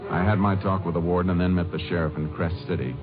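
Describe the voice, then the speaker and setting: An actor playing a steady, determined Texas Ranger lawman in a 1950s radio drama, terse, assured and matter-of-fact. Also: low voice, fast, close to an everyday voice. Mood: grim, measured narration. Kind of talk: 1950s radio drama acting and first-person narration. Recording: muffled 1950s radio broadcast, music behind.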